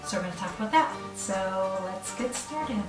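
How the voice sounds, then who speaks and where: A woman's voice: medium pitch, animated, normal speed, far from the mic. Chatty middle-aged Midwestern woman, casual to-camera talk, roomy off-mic sound. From Chicago, US. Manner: chatty, rambling with fillers. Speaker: vlogger. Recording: roomy room, off the mic, faint music.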